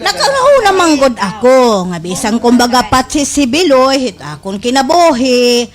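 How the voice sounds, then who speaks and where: High-pitched, scheming village woman, Waray accent. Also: very animated, moderate pace. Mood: sweetly pretending. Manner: exaggerated, cackling slapstick delivery. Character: scheming village woman feigning saintly helpfulness, theatrical and sly. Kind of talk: slapstick satirical radio drama acting in Waray.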